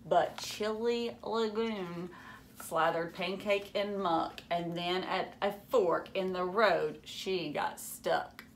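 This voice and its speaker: A woman in her thirties giving an animated, dramatic picture-book read-aloud with teacherly asides in a room at home. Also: on the low side, slow pace, a little hiss or hum.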